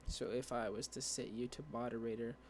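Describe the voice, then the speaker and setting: A young man explaining a coding tutorial over a screen share, rambling and halting with many fillers and restarts, his voice dry. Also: medium pitch, steady tone, slight background noise. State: dry voice, clearing throat. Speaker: tutorial creator.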